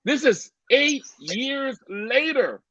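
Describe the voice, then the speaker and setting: A man from Cameroon sharing a personal faith testimony as a podcast guest, animated, stumbling over repeated words and laughing often. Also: very high voice, extremely slow, no echo. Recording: dry, slightly dull lo-fi audio.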